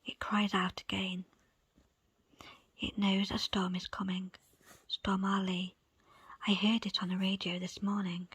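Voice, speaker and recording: A woman reading a book in a breathy ASMR whisper, with soft, unhurried, deliberate phrasing. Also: medium pitch, little pitch movement, dry recording. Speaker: book presenter and reader.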